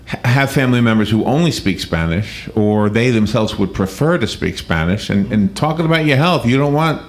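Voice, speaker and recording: A man hosting live talk radio in a casual, halting way, often repeating words. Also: mid-range voice, expressive. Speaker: radio host. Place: radio studio.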